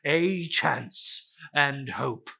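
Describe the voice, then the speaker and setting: A man's voice: medium pitch, creaky, bright, forceful tone, voice pushed down, full character voice. A man voicing the tormented ghost of a dead businessman in a dramatic storybook reading, wailing and drawing out his solemn warnings. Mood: mournful warning. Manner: wailing, drawn-out, ominous declamation.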